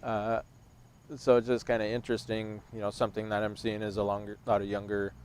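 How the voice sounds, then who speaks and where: A man in his thirties who works at a rescue mission, speaking reflectively and in a measured way during a casual talk outdoors. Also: fairly low pitch, completely flat delivery, a little mellow, clear, resonant tone. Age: 30s. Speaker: rescue mission staff member. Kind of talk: casual conversation on a livestream. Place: outdoors on a bench.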